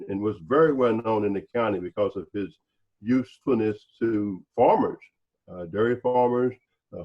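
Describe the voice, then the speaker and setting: A man speaks reflectively in a discussion, halting and deliberate, often repeating words as he searches for the next one. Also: on the low side, dull audio. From North Carolina, United States. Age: 60s. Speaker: panel speaker, former special agent.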